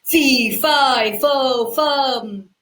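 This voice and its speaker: Storybook giant kid, boastful and booming, played by a woman; high and bright. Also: extremely slow, echoey room, exaggerated voice. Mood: menacing. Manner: booming, chanting. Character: boastful giant boy, loud and childish.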